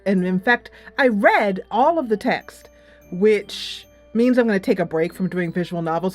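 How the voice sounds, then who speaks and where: A middle-aged woman giving a casual, giggly video game review, animated and emphatic, drawing out words for effect. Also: fairly low pitch.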